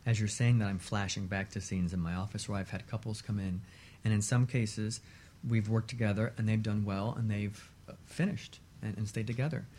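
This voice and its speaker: Low, mellow-voiced man, measured talk. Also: steady tone, a little hiss or hum. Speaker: relationship therapist as show guest. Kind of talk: conversational discussion of relationships.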